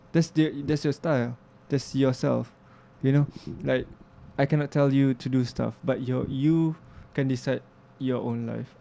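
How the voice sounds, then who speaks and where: Young man, gravelly, dark tone, thoughtful, halting. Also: medium pitch, slight background noise. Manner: reflective, earnest, halting with restarts and fillers. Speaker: interview guest, filmmaker and music producer. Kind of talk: casual interview talk.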